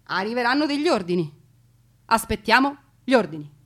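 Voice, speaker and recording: Homesick Italian infantryman voiced by an actress, Veneto accent, raised pitch, naive and emotional, theatre monologue. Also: sing-song, a little fast, a bit bright. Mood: puzzled, uneasy. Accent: northern Italian, Veneto. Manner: colloquial, emotional dialect exchanges. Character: naive, excitable Italian soldier, homesick young man.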